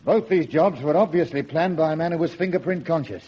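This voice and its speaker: Stern senior inspector, pompous, radio play; high husky voice, muffled recording. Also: male voice, a little fast. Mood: brisk, official. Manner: pompous, emphatic. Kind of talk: radio drama acting.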